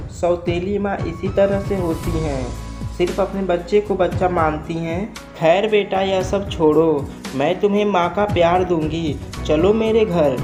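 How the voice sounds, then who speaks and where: A kind crow aunt speaks slowly and soothingly in a fairly low voice, voiced by a woman. Clear music plays under her lines. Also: natural voice. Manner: soothing, reassuring. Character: kind motherly crow aunt, gentle and caring.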